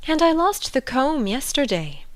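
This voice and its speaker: A man voicing a helpless, muddled old queen in a storybook, thin and breathy, with a plaintive, melancholy manner. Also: sits high, sing-song, unhurried, fairly bright tone, voice pushed up, heavily acted. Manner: plaintive, sighing, dotty.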